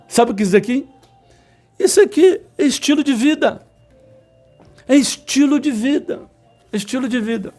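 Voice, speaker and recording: A man's voice: extremely high pitch, a little mellow, husky. A man, an electronics instructor giving a motivational talk to students, repeating his points and stressing words insistently. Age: 50s. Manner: repetitive, emphatic, insistent, with sudden slow stressed words.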